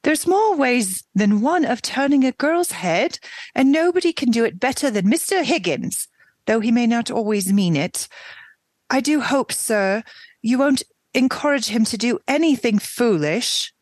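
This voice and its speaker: A woman's voice: fairly high pitch, lively intonation, measured pace, darkish tone, dry recording. A woman playing a stern, prim middle-aged housekeeper who scolds the girl. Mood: scolding.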